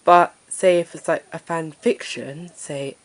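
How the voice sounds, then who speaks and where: A woman with a deep voice introducing her story reading channel in a nervous, hesitant way, full of fillers. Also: fairly even intonation, measured pace. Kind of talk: casual self-introduction to camera.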